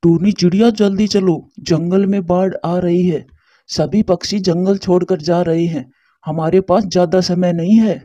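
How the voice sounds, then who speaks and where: A man voicing a caring, sensible male bird in a cartoon story, earnest and measured, on a muffled track. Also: high voice, steady tone, dark tone, no echo. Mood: urgent. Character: caring, sensible adult male pigeon, protective friend. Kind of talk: Hindi cartoon story dialogue.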